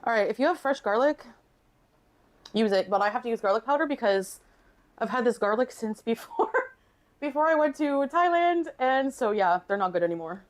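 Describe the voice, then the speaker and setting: A woman's voice: on the high side, animated, a little fast, soft background music. A woman casually explaining recipe steps as a home cooking vlogger, recorded in her kitchen.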